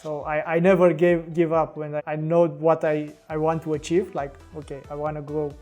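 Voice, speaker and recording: A man's voice: a little high, flat delivery, moderate pace, a little mellow, soft background music. A man with a Romanian accent, a podcast guest talking casually in English with frequent restarts and fillers. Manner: hesitant, many restarts and fillers. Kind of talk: casual interview talk.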